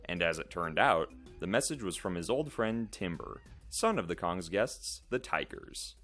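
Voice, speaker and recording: Male narrator, low voice with expressive swings, dramatic storybook read, music underneath. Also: unhurried.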